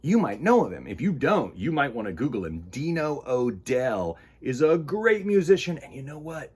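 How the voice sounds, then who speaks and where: Male storytime host, slightly rough-edged voice, very lively intonation, playful and emphatic read-aloud for young kids. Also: medium pitch, moderate pace. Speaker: children's storytime host and reader. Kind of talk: animated picture-book read-aloud.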